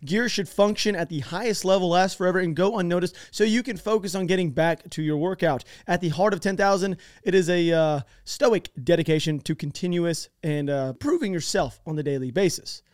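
Fast-talking, excitable American host. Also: male voice, high voice, dry recording, studio quality. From United States. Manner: excitable, swears freely, quick asides. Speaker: podcast host. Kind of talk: casual chat with ad read.

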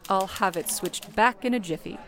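A woman's voice: medium pitch, normal speed, fairly bright tone, natural voice. An actress playing a polite, motherly chief switchboard operator, courteous and solicitous, in a period radio play. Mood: courteous, busy.

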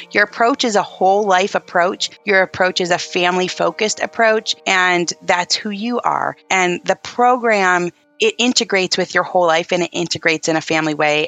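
A woman hosting a casual podcast interview, talkative and effusive, quick to affirm her guest. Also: medium pitch, a bit bright. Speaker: podcast host.

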